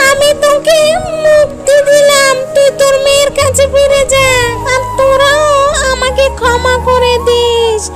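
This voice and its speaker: A woman voicing a fierce, bossy female ogress in a children's cartoon, with a commanding, drawn-out delivery. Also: very high voice. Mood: relenting. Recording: loud music behind the voice. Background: music.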